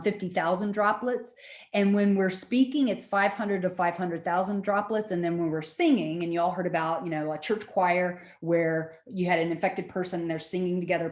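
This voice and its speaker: A middle-aged woman, lecturing students in a loud, projecting voice and often checking that they follow. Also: fairly low pitch, reverberant. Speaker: university lecturer.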